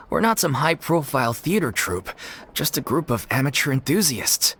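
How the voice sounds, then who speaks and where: An actor playing an earnest, worried leader of an amateur theater troupe in a video game. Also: male voice, medium pitch, fairly bright tone.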